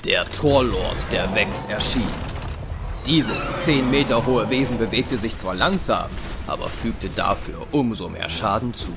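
Lowish-voiced male story narrator. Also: lively intonation, unhurried, creaky. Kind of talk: scripted audio drama narration. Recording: music behind the voice.

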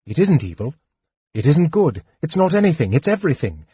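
A man voicing a determined young woman who speaks briskly and impatiently. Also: fairly high pitch, very animated, warm tone, husky, dry recording, pitched above the performer's own voice, exaggerated voice. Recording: dull dry lo-fi audiobook recording.

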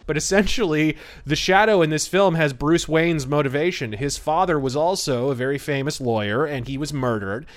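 A man's voice: a little high, normal speed, few pauses. A man hosting a podcast and giving conversational, detailed film commentary with a wry manner. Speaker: podcast host.

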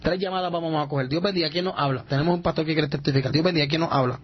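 Man, radio preacher, fervent, repetitive, somewhat high voice, dull broadcast sound. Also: very fast, husky. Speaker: radio show host and preacher.

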